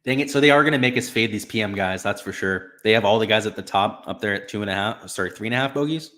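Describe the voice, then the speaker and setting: A young man with a slightly raspy voice from being sick, casually talking through betting picks on a live stream, thinking aloud. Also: on the low side, quick pace, sharp tone. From Florida. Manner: casual, thinking aloud with fillers like "right" and "you know". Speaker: sports betting stream host.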